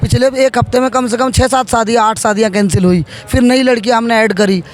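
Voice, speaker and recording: A man answering interview questions as a politician, halting and repeating phrases as he goes. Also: very high voice, fairly even intonation. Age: 30s.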